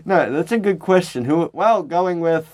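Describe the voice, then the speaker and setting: A man's voice: on the high side, moderate pace, a little mellow. A man co-hosting a podcast in casual comedic banter, riffing jokily with some swearing. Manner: jokey, profane riffing. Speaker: podcast co-host.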